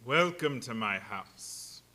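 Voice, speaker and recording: An actor playing Count Dracula, a sinister, courtly vampire with a formal, theatrical delivery in a radio play. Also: male voice, medium pitch, animated, slow, pushed and bright. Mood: courtly, ominous.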